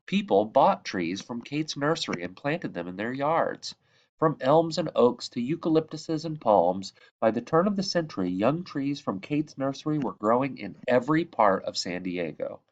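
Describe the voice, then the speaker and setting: A man reading a children's book aloud as a narrator, with measured, expressive phrasing and emphasis on key words. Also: mid-range voice, lo-fi sound. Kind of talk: read-aloud storybook narration.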